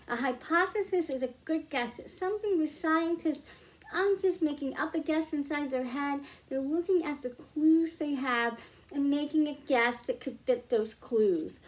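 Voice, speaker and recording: A middle-aged woman reading picture books aloud to young children, explaining slowly and clearly at home. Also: high voice. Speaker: children's librarian. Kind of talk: storytime read-aloud for kids.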